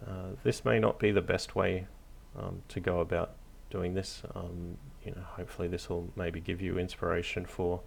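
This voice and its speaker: A man hosting a hobby tutorial, narrating casually off the cuff in a hesitant, low-key, encouraging way. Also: little pitch movement, normal speed, gravelly, hardly any pauses, slight background noise. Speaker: hobby craft tutorial host.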